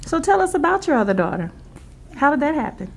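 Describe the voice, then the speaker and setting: A woman's voice: high voice, very animated, fast talker, gravelly, exaggerated voice. A woman playing a blunt, pushy press interviewer, insistent and confrontational, in a stage drama. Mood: probing. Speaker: actor in stage play. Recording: slightly noisy stage recording.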